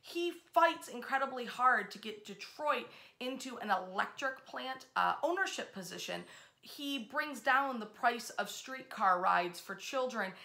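A woman in her thirties hosting informal history storytelling to camera, with emphatic, expressive delivery. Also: on the high side. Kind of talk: informal storytelling to camera, part read aloud. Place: small bedroom, indoors.

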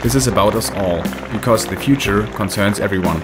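A man with a fairly low voice reads a documentary script in a measured, even way. Loud background music plays under his narration throughout. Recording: voice-over with loud music behind.

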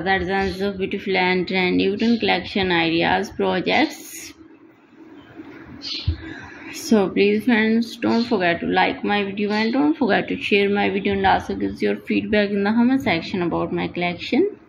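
A woman's voice: mid-range voice, moderate pace, creaky, a little hiss or hum, low-quality recording. A woman hosting her own channel, talking over a slideshow in simple non-native English, promoting each idea with eager, repetitive praise. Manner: repetitive, enthusiastic promotional phrasing. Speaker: channel host.

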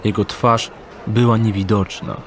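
A man narrating an audio drama in a measured, deliberate storytelling manner. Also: lowish voice, steady tone, slight background noise.

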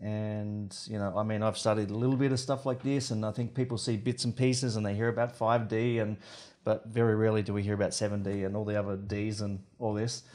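A man's voice: lowish voice, a little fast. A man in his forties hosting a podcast interview in a studio, talking loosely with many fillers. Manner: relaxed, rambling with fillers. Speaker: podcast host. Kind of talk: casual interview. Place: podcast studio with wooden wall and plants. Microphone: studio mic on boom arm.

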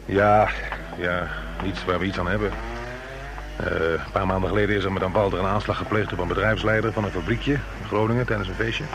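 Actor as fast-talking male police detective. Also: deep voice, fairly even intonation, close to an everyday voice. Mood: matter-of-fact. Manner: matter-of-fact, colloquial. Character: brisk male police detective reporting on a case. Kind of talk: Dutch radio drama dialogue. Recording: noisy old radio broadcast recording.

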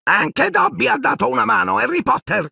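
A man voicing an eager, loyal little male house-elf with a squeaky voice in an audiobook. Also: very animated, normal speed, creaky, sharp tone, breathy edge, dry recording, studio quality, exaggerated voice.

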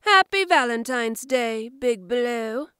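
Fan drama role: coaxing, bossy bat woman played by an actress, very clean high voice, dry recording. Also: expressive, takes it slowly, bright, forceful tone, clear, resonant tone, dry recording, exaggerated voice. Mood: coaxing, reassuring. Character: flirty, bossy, caring adult female bat. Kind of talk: fan audio drama acting.

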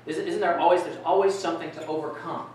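A man's voice: fairly high pitch, quick pace, far from the mic. A middle-aged man giving an interactive keynote talk in a conference room, asking the audience questions. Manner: animated, asks the audience questions. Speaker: keynote speaker. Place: conference room with projector screen, indoors. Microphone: far camera, no mic. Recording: echoey room from afar.

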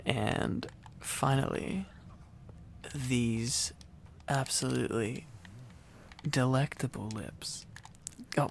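Man acting a slow, gravelly, needy boyfriend. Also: mid-range voice, fairly bright tone, slight background noise, full character voice. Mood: affectionate, teasing. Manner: playful, teasing, rambling. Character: needy, silly, affectionate young boyfriend, playfully teasing. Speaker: ASMR roleplay performer. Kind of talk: boyfriend ASMR roleplay, intimate rambling to listener.

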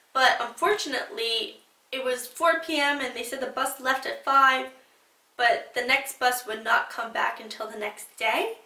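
A young woman sits on a couch in a small room, chatting to the camera and gesturing as she gives travel tips. Her voice is bright and on the high side. The sound is roomy, picked up by a camera a short way off. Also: recorded from a distance. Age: young adult. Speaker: travel vlogger. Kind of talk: seated vlog commentary. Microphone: camera a little way off.

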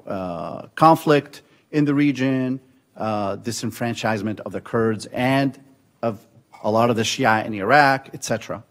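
A man in his forties from Syria, giving a measured policy talk at a conference podium, with frequent fillers and restarts. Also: mid-range voice. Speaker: conference panelist. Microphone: podium microphone.

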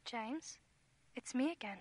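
A woman's voice: on the high side, lively intonation, a little slow. A woman acting an eerie, coaxing, insistent caller in a radio play.

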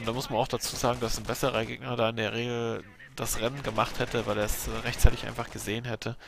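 A man with a bad cold commentating a replay of his own strategy game match, explaining his moves in a relaxed way with fillers. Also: mid-range voice, gravelly, background noise.

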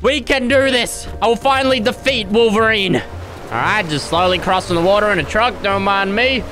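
Young male gamer, high bright voice, over-the-top commentary, going hoarse. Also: moderate pace, soft background music. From Australia. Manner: loud, dramatic, squealing yelps and groans. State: starting to lose his voice. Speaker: gaming YouTuber. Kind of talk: excited gameplay commentary.

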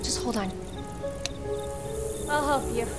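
High-pitched, fiery young survivor heroine. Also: female voice, takes it slowly, loud music behind the voice. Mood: tense, determined. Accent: American. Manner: intense, foul-mouthed. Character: tough, determined young woman fighting to survive zombie horror. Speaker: video game voice actor. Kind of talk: video game combat and survival dialogue.

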